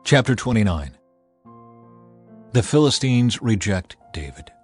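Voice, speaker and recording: Man narrating scripture over soft music. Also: fairly low pitch, breathy edge. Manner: measured, even reading.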